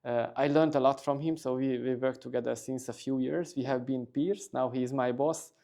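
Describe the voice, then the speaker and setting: This is a middle-aged man speaking English, his voice dark and gravelly. He answers an interviewer in a calm, considered way, pausing with fillers and restarting his sentences. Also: mid-range voice. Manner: thoughtful, steady, many fillers and restarts. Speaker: interview guest, company executive. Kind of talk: casual interview talk.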